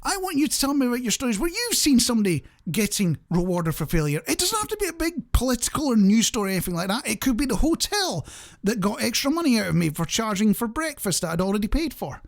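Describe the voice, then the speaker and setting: Chatty radio host with lively, swooping intonation. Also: mid-range voice, fast talker. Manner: chatty, wry, jokey rambling asides. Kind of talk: casual listener-interaction radio chat.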